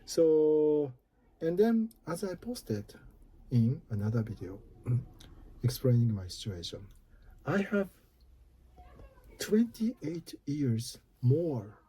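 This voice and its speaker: A man in his fifties with a Japanese accent, talking slowly and haltingly about his own worries in a personal video. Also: fairly high pitch, very animated, dark tone, husky, rough recording. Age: 50s. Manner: slow, halting, careful phrasing. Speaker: office worker. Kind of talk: personal video talk to camera.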